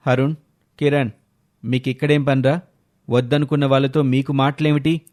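A man's voice: medium pitch, flat delivery, normal speed, a little mellow, close to an everyday voice. A man voicing a resentful, suspicious father in a family story, curt and scolding, with music behind him. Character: resentful younger brother, stern suspicious father of grown sons.

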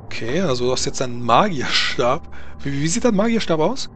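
A man narrating a tabletop game as game master, halting as he searches for words. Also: on the high side, very animated, fairly bright tone, faint music behind.

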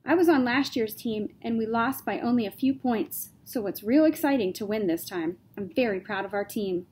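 A woman's voice: fairly high pitch, moderate pace, a little mellow, husky. A woman voicing a shy but earnest schoolgirl. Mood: proud.

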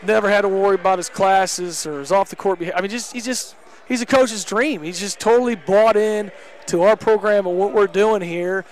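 Candid coach in a post-game interview. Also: male voice, sits high, steady tone, brisk. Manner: candid, emphatic, many fillers. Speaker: head basketball coach. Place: indoor gym court. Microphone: headset.

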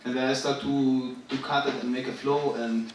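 A young man in his twenties from Syria, a rapper talking on a theater stage, halting and searching for words. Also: mid-range voice, little pitch movement, far from the mic, slight background noise. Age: 20s. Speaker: rapper and guest artist. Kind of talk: stage talk. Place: large theater stage with live audience. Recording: echoey stage, picked up from afar.

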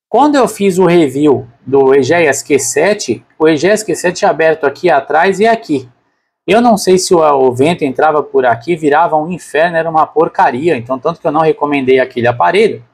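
A man's voice: a little high, slightly rough. A man with a Brazilian Portuguese accent narrating a product unboxing casually and unscripted, informally thinking aloud as a reviewer.

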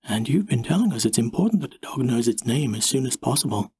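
Soft, gently persuasive mother played by a man. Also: medium pitch, sing-song, fast talker, husky, no room sound. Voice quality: soft, quiet.

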